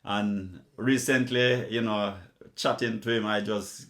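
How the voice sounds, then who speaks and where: A man's voice: fairly low pitch, steady tone, takes it slowly, fairly bright tone. A middle-aged man with a Jamaican accent, hosting a casual chat and telling stories. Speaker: host.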